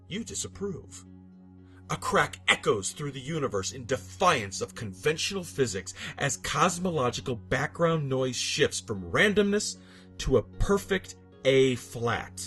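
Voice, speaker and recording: A man narrates a scripted horror story in a mid-pitched voice. His delivery is theatrical and solemn, with deliberate phrasing. Music plays behind him. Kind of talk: dramatic scripted creepypasta narration. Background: background music.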